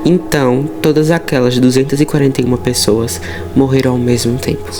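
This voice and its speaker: A man's voice: medium pitch, steady tone, brisk. A man with a Brazilian accent telling horror stories as a host, mixing narration with informal asides and dramatic emphasis. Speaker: host of a horror storytelling channel. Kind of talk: storytelling narration with casual asides. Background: music under the voice.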